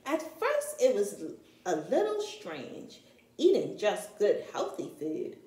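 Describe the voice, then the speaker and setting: A woman reading a children's picture book aloud in an expressive, deliberate storytelling manner, as if to young children. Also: medium pitch, echoey room. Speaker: storytime reader, teacher-like.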